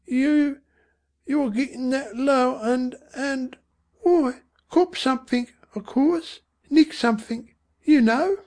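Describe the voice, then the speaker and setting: A woman's voice: fairly high pitch, steady tone, a little slow, vocal fry, a little mellow, pitched above the performer's own voice. A woman voicing a doleful, listless slum mother with speech, faltering and feebly respectable, in an audiobook. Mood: faltering reproach. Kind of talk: audiobook character voice.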